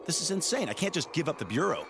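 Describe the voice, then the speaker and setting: A male federal agent, defiant and insistent in a video game. His intonation moves widely and he sounds incredulous, over loud music. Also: on the high side, sing-song, fast talker.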